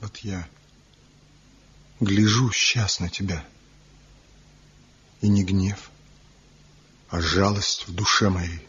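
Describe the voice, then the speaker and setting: A man's voice: deep voice, steady tone, takes it slowly, long pauses, fairly bright tone, slightly rough, heavily acted. An actor in a 1970s radio play voicing a man back after seven years, impassioned and pleading. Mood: tender. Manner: impassioned, pleading, swinging between grief and resolve. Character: man returned after seven years, passionate, tormented lover. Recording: dull lo-fi old radio recording.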